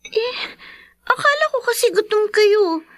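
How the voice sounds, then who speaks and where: An actress playing a meek, dutiful, caring teenage daughter who speaks deferentially in a radio drama. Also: female voice, extremely high pitch, animated, a little slow, full character voice. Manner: soft-spoken, deferential, hesitant.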